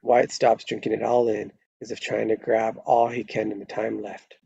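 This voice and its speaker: Guy reading script action lines at a table read, creaky dark voice, kinda muffled close mic. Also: lowish voice, level tone, normal speed, slight background noise. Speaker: narrator reading stage directions. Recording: dull lo-fi close microphone.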